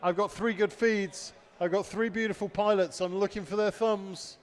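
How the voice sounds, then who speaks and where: A man's voice: high-pitched, steady tone, normal speed. A man hosting a live race stream, reading chat aloud and commentating, his voice getting worn. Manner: chatty, reads out chat messages, laughs easily. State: losing his voice. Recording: long hall reverb.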